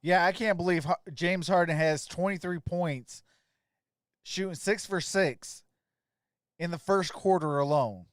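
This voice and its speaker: A man's voice: high voice, a little slow, dry recording, clean studio sound. A man hosting a live stream, chatting casually and calling basketball plays with informal, off-the-cuff reactions.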